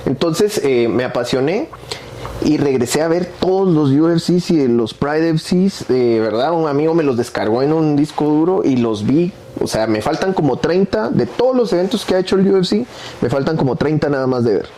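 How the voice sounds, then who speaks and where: A Guatemalan man in his forties talks casually as an interview guest. His voice is relaxed and warm, and he chats freely, often ending phrases with a tag question. Some ambient noise sits behind him. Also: fairly high pitch, normal speed. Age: 40s. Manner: chatty, frequent tag questions. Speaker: podcast guest, MMA social media creator.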